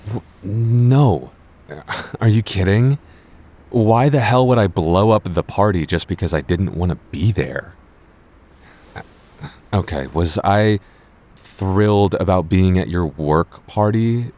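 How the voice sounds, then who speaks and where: Deep-voiced, earnest jealous boyfriend played by a man. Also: a little mellow. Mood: incredulous, frank. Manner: hesitant, stammering, earnest. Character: jealous, possessive, apologetic boyfriend, earnest and tender. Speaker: voice actor. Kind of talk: boyfriend ASMR audio roleplay.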